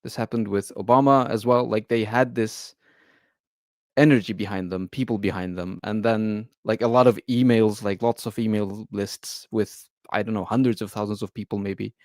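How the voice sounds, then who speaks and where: A man's voice: fairly low pitch, fairly even intonation, normal speed, no echo, clean studio sound. A man from Turkey, a guest on a livestream panel, speaking casually with many fillers.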